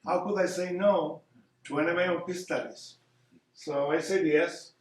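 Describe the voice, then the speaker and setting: An older man from Argentina with an Argentine Spanish accent gives an earnest public lecture, often hesitating and repeating words as he searches for them. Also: a little high. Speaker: guest speaker. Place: community hall. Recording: echoey hall, distant mic.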